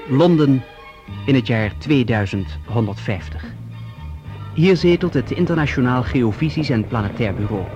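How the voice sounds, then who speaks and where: A man narrating a radio play as its announcer, in a measured, portentous scripted style. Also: medium pitch, animated. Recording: dull old radio recording under music. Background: music and dramatic sound effects.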